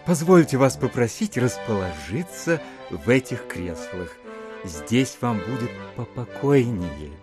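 An actor playing a sugary, gracious, slow-witted country landowner in an audio drama, cloyingly polite and hesitant. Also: male voice, medium pitch, big pitch swings, over music. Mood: sugary, obliging.

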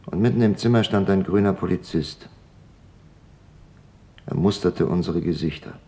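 An actor playing a quiet father who recalls his wartime capture with restrained, factual delivery in a radio drama. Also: low-pitched, moderate pace, gravelly. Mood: restrained, somber. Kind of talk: German radio drama witness monologue.

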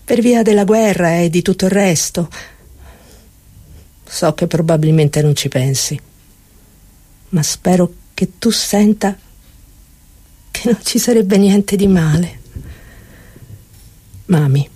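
A middle-aged mother in a radio drama, tender and worried. She speaks slowly in a dark, husky tone, gentle and careful, very close and dry. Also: on the low side, no echo. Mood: tender worry. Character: anxious, tender mother writing to her daughter. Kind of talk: dramatic reading of a letter in radio theatre.

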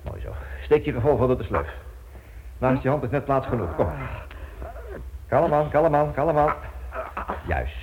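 A man's voice: mid-range voice, lively intonation, normal speed, pauses often, natural voice. An actor playing a resourceful male captive in a radio drama, giving clipped, urgent orders with coolly menacing politeness. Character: resourceful male captive, commanding, coolly threatening his jailer. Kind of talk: Dutch radio drama acting. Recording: muffled, noisy old radio play tape.

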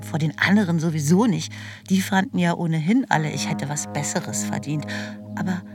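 An actress playing a reflective, candid middle-aged woman who narrates her memories in a radio play, in a colloquial way. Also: low voice. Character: reflective, candid older Marion recalling youth. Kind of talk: radio drama first-person narration. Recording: music bed under narration.

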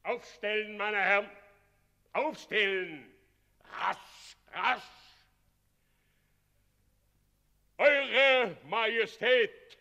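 A fawning, addled old mayor in a radio play, ceremonious and pompous. The voice sits high and piercing, with slow, drawn-out delivery, ringing through a long hall reverb. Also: male voice, bright, forceful tone, heavily acted. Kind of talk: German radio play acting.

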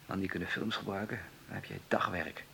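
An actor in a Dutch radio play playing a brash, broke lawyer who talks in a glib, slangy, persuasive way. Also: male voice, sits low, vocal fry, pushed and bright, breathy edge, heavily acted, rough recording. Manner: glib, slangy, persuasive, hesitating over delicate words. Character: brash, scheming lawyer brother, broke and pushy. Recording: hissy old radio play recording.

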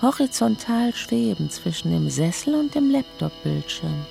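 An actress playing a matter-of-fact female schoolteacher in a radio play, with a creaky voice. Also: mid-range voice, sing-song, a little slow, dark tone, soft background music, full character voice. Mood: urgent, absorbed. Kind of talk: radio play acting, narrated monologue and dialogue.

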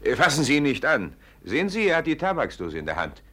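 An actor playing a knowing, gravely ironic expert with a pompous, lecturing manner in a 1950s German radio play. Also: male voice, medium pitch, huge pitch swings, fast talker, a bit bright. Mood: alert.

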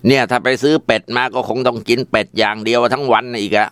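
A man's voice: mid-range voice, steady tone, fast talker, a bit bright, smooth, dry recording, pitched above the performer's own voice, lightly acted. A man voicing a spoiled teenage son who whines and complains. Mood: whiny. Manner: whining, complaining.

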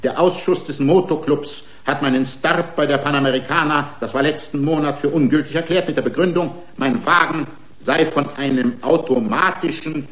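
A man's voice: on the high side, lightly acted. An actor playing an indignant, argumentative racing driver who is part man, part machine, pleading his own case in a German radio play. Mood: aggrieved. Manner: argumentative, rhetorical, aggrieved. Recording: roomy studio courtroom sound.

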